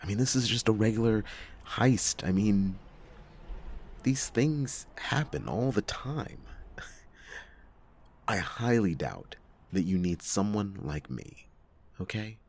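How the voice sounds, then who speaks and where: A man's voice: fairly low pitch, expressive, vocal fry, darkish tone, voice pushed up, full character voice. A man playing a world-weary, reluctant private detective in a hesitant, dramatic noir roleplay monologue. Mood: reluctant, pleading. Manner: hesitant, drawn-out phrasing with pauses and sighing chuckles. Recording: echoey long reverb, music behind.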